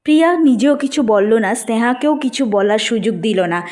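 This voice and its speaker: A woman's voice: a little high, fast talker, a little mellow. A woman narrating a scripted family story for a cartoon.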